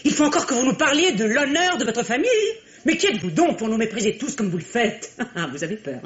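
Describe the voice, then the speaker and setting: A woman's voice: a little high, lively intonation, fast, muffled recording, close to an everyday voice. An actress playing an indignant, scornful woman in a radio drama, emphatic and theatrical. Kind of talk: French radio drama acting.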